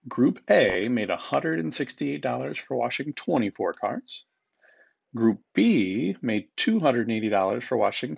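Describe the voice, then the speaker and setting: Guy teacher reading out test questions, kinda creaky and husky, informal, slightly muffled recording. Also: medium pitch. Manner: informal, instructive, repeats each question. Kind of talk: reading a math test aloud to students.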